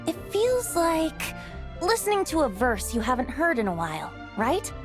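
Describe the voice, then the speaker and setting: Gracious, animated, high-voiced lady. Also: moderate pace, over music. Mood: reflective. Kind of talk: video game voice acting.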